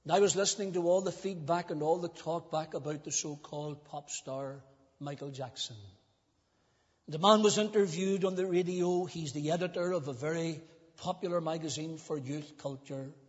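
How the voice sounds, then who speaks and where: Older man, high husky voice, children's sermon, dull recording with long hall reverb. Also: normal speed. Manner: storytelling, emphatic, drops to a hushed voice at key points. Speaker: preacher. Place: church.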